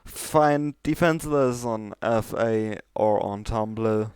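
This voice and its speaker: A man's voice: lowish voice, slow pace, darkish tone, clean tone, no room sound. A man reading fan fiction as an amateur narrator, moving between casual talk and storytelling.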